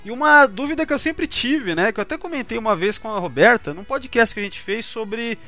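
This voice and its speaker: A Brazilian man hosts a casual podcast in a high, bright voice. His intonation is lively as he questions things and circles back over his words. Music plays underneath. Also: a little fast. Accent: Brazilian Portuguese. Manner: informal, questioning, repeats himself. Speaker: podcast host. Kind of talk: casual chat.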